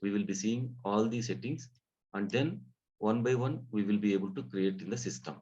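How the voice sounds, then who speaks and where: A man giving a live software training lesson, explaining step by step in a halting way, often repeating and rephrasing his phrases. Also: fairly low pitch. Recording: dull, muffled lo-fi audio.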